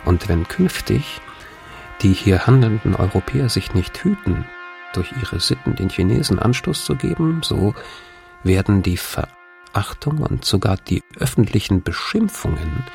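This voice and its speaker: Casting: grave old-world chronicler for radio drama, low creaky voice, measured and formal, music underneath. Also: male voice, animated, darkish tone. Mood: grave, admonishing. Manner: measured, formal, archaic wording. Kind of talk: scripted literary narration of a historical account. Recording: music behind the voice.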